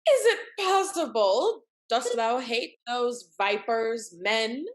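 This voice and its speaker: Actress as arch period-comedy wife: high voice, very animated, exclamatory and mannered. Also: female voice, slow pace. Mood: mock fervour. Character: married society woman, arch, effusive, sharp-witted wife. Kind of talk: Restoration comedy stage acting.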